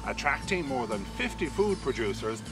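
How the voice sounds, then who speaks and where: A man's voice: mid-range voice, sing-song, moderate pace. A middle-aged Irish man presenting a promotional piece to camera outdoors on a riverside promenade, upbeat and promotional. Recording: with music behind, mic a bit distant.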